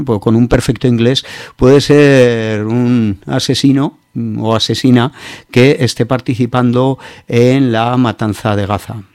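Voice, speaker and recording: This is a man with a Peninsular Spanish accent and a medium-pitched voice. He presents news commentary as a radio host, informally and with clear opinions. His phrasing halts and regroups as he goes, and the sound is dry, with little room around it. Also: darkish tone, no echo.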